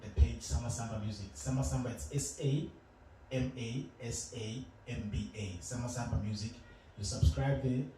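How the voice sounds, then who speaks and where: A young adult man from Zimbabwe, a musician chatting casually as an interview guest, relaxed and hesitant with many fillers. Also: mid-range voice, moderate pace. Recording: roomy, distant, noisy room.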